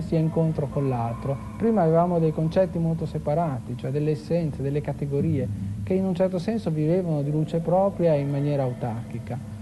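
A man's voice: on the high side, lo-fi sound. A man talking as an expert in a discursive, academic way, stressing key terms as he explains. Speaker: expert commentator. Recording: clear background ambient noise.

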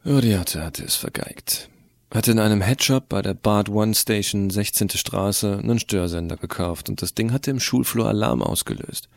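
A man's voice: lowish voice, normal speed, no echo, clean studio sound. A man narrating as a sardonic, self-assured teenage hacker in a first-person audio drama, casual and wry. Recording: clearly audible hiss.

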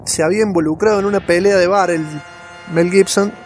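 A man's voice: high-pitched, fairly even intonation, fairly quick, soft background music. A man with an Argentine accent co-hosting a podcast, sharing film trivia in a colloquial way and repeating words for emphasis. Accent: Argentine Spanish. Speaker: podcast co-host. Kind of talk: casual film trivia chat.

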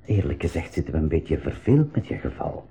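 A man's voice: extremely low pitch, very animated, a little fast, warm tone. A man playing a courteous crew leader in a radio drama, speaking politely and hesitantly but firmly. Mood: calm, considerate. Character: courteous, firm uncle leading a submarine crew. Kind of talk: 1980s school radio drama acting.

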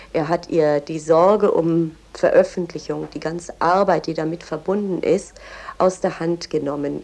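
A woman explaining a writer's life in a measured, knowledgeable way, offering her own opinions as she goes. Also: on the low side, vocal fry, dark tone. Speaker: commentator and guide on a poet's life. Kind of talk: informal literary-historical explanation.

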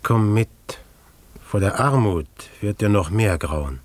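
An actor playing a scheming, secretive village innkeeper in debt, in a German radio drama, with an insistent, calculating manner. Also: male voice, lowish voice, animated, takes it slowly, no room sound. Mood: grimly matter-of-fact. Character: scheming, debt-ridden village innkeeper coldly plotting a crime.

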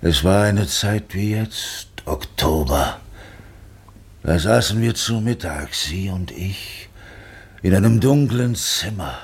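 An actor playing a world-weary, brooding sea captain in a literary radio play, halting and reflective. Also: male voice, low voice, lively intonation, full character voice. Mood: brooding, haunted. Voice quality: husky, gravelly.

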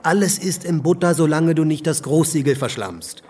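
An actor playing a crafty, casually corrupt political crony in a radio drama, offhand and glib. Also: male voice, on the high side, normal speed, darkish tone, husky. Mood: breezy, impatient.

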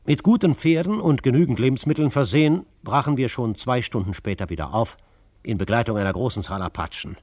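Frontier adventurer for radio drama, male actor: middle-pitched, composed, authoritative, recorded very dry. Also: expressive, brisk, darkish tone, dry recording, close to an everyday voice. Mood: measured narrating. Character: confident male frontier adventurer, calm, commanding, narrating his adventures. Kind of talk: German radio drama narration and dialogue.

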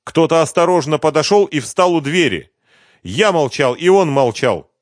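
In a detective audiobook, a male narrator plays a frightened singer being questioned. His voice is pitched very high, whiny and pleading. He sounds weepy and close to hysteria, with a dry, close sound. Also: lively intonation, moderate pace, dry recording, natural voice. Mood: anxious confession. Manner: pleading, whining, stammering protests. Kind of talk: audiobook detective novel dialogue.

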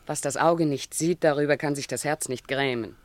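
Actress as coarse streetwalker, brazen, loud, taunting, bawdy come-ons, radio drama. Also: female voice, low-pitched, normal speed, lightly acted. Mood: coaxing, bawdy. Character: brazen, coarse street prostitute soliciting a man.

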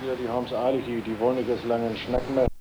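A man's voice: mid-range voice, level tone, racing. A middle-aged man recalling things casually in an interview. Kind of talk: casual spoken recollection. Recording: noisy old cassette, traffic sounds.

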